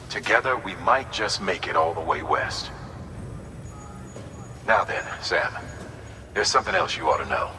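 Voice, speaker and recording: Game cutscene: authoritative commander, lowish but very forceful, deliberate, formal delivery, reverberant hall sound. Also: male voice, heavily acted. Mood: approving, formal. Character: authoritative male commander briefing a courier. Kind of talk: dramatic video game cutscene briefing. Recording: long hall reverb, faint background noise.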